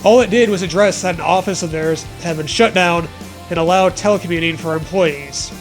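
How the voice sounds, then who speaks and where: A man's voice: sits high, fairly quick, faint music behind. A man co-hosting a comedy show, mockingly reading fan fiction aloud with sarcastic, profane banter.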